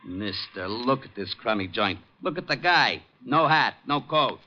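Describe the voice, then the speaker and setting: An actor playing a gruff, cynical taxi driver with brusque, slangy tough talk in a 1950s radio drama. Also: male voice, medium pitch, lively intonation, a little slow, sharp tone. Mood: scornful. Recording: noisy, muffled vintage radio recording.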